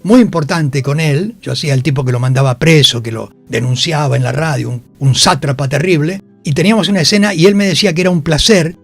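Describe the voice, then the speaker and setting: A man's voice: on the high side, fast, creaky, hardly any pauses, breathy edge, soft background music. A man, a theatre actor and director, talks in a radio interview, telling anecdotes emphatically and repeating phrases. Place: radio studio.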